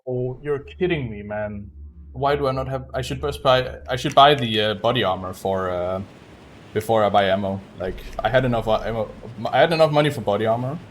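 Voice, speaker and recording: A man's voice: medium pitch, a little fast, a little hiss or hum. A man giving casual live gameplay commentary as a speedrunner, muttering and thinking aloud. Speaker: speedrunner streaming a video game.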